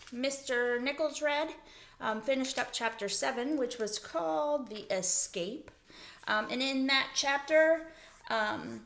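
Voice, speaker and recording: A woman's voice: sits high, a bit bright. A woman schoolteacher leading a read-aloud lesson for children, explaining things simply and putting questions to her listeners. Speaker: elementary school teacher.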